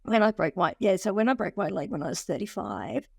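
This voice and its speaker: 40s woman guest telling a story, laughs a lot, mid pitch, dry close audio. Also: brisk, creaky, slightly rough, no room sound. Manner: animated storyteller who laughs often and restarts sentences. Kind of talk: casual conversational interview.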